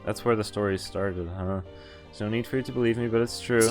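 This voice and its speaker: Low voiced guy reading visual novel text out loud on stream, music playing behind him. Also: steady tone, fairly quick. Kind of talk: reading game text aloud with casual reactions.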